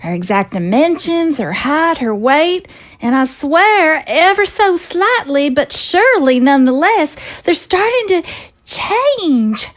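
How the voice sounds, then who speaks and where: A woman's voice: sits high, big pitch swings, slow pace, exaggerated voice. A woman playing an anxious undead mother. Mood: worried. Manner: hesitant, earnest, confiding. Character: undead first lady, worried and earnest mother. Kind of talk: amateur audio drama acting.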